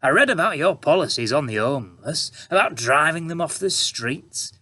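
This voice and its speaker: Guy putting on a smarmy reporter voice, flattering, raised and bouncy. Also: on the high side, normal speed, pitched above the performer's own voice. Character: smooth, flattering male journalist.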